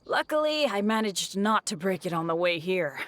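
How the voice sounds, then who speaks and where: A woman's voice: on the low side, sing-song, normal speed, fairly bright tone. A woman playing a friendly, upbeat young woman in a video game, chatty and easygoing. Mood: cheerful. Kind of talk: video game character acting.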